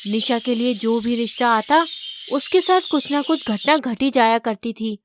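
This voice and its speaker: A woman's voice: on the high side, moderate pace, background noise. A woman reading scripted story narration.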